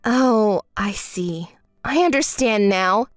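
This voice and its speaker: A woman voicing a calm, fed-up young woman who answers in a level, dry, scolding way in a dramatized story. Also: medium pitch, slow, no room sound, faint music behind, pitched below the performer's own voice.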